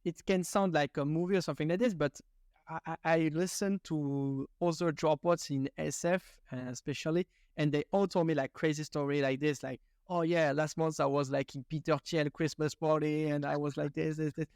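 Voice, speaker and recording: A man's voice: on the high side, fairly even intonation, normal speed, a little mellow, no room sound, clean studio sound. Rambling podcast host man. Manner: long rambling questions, loose non-native phrasing. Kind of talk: casual interview questions.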